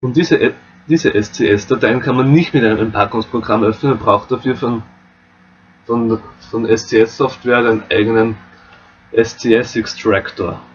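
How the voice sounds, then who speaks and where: A man with an Austrian accent walking viewers through a game map editor, relaxed and explaining step by step. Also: medium pitch, moderate pace, lo-fi sound, slight background noise. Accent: Austrian German. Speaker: modding tutorial host. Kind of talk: screen-recorded software tutorial.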